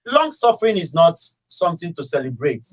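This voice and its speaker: A Nigerian man with a fairly high-pitched voice talks casually on a radio show. He argues his views in an animated way and slips into other people's words as he tells a story. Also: brisk. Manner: animated storytelling, acting out quoted remarks. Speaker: radio talk show speaker. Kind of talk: casual opinionated radio discussion.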